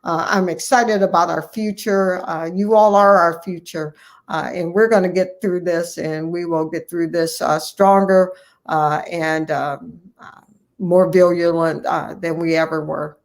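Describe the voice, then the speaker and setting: A middle-aged woman speaking as an interview guest, addressing colleagues encouragingly in a halting, deliberate way, repeating phrases for emphasis. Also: lowish voice, steady tone. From United States, Ohio. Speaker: guest, family physician and academy president-elect.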